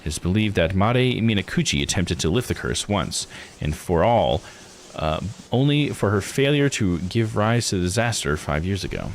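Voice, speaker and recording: A man's voice: lowish voice, slight background noise. A man live-streaming a game, reading its text aloud and commenting in a laid-back, informal way. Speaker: live-streamer playing a visual novel.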